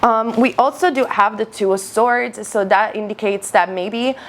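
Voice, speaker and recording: Female online tarot reader, medium pitch, conversational and emphatic, voice on the mend after losing it. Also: moderate pace, a little hiss or hum. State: recovering from voice loss. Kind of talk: tarot card reading addressed to viewers, conversational.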